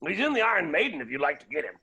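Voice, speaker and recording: A man playing a villainous, grandiose billionaire in a comic stage play, theatrical and gleefully deranged, with a raspy, gravelly voice. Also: on the high side, big pitch swings, rapid-fire, bright, forceful tone, full character voice, low-quality recording. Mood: grandiose menace.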